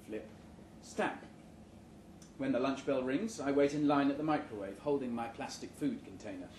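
A man giving a live, measured, deadpan reading of satirical fiction at an indoor venue. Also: mid-range voice. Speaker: short story reader. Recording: roomy, noisy live venue recording.

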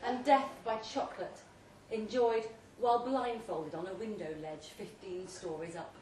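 A woman giving an emphatic, deliberately phrased dramatic reading of a second-person short story at a live event. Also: medium pitch, steady tone, darkish tone. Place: indoor pub event, before an audience. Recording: roomy live pub recording, distant mic, faint noise.

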